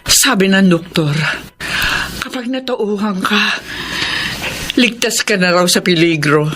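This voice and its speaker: A woman's voice: medium pitch, takes it slowly, a bit bright, slight background noise. An actress with a Filipino accent playing a caring, solicitous mother figure in a radio drama. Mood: relieved, caring.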